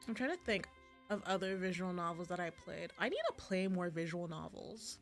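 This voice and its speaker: A woman's voice: fairly low pitch, normal speed. Rambling, gushing woman streamer over music. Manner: rambling, gushing, self-interrupting. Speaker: livestreamer playing visual novels. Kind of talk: casual chatty stream commentary. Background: background music.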